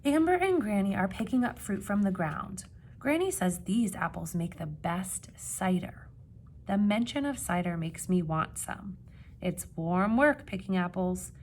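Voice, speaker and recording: A woman reading a children's picture book aloud like a teacher, with expressive emphasis and questions put to young listeners. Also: mid-range voice, a little hiss or hum. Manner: expressive, dramatic gasps and emphasis, pausing to ask questions.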